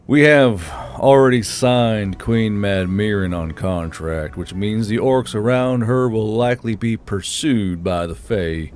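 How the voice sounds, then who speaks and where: A man's voice: on the low side, measured pace, few pauses, darkish tone, lightly acted. Man voicing a weary, irritable fantasy war leader. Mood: weary resolve. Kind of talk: audiobook character acting.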